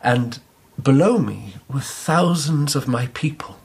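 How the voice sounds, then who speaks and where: A man playing an imperious, introspective emperor in a radio drama, speaking in a measured, commanding way. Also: mid-range voice, animated, vocal fry, breathy edge, exaggerated voice. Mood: musing.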